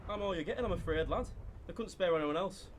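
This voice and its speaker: Jaunty, high-voiced army engineer, played by a man. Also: fast talker, slight background noise, natural voice. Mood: wry. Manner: breezy, bantering. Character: jaunty, teasing army engineer, cheerful under danger. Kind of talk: audio drama acting.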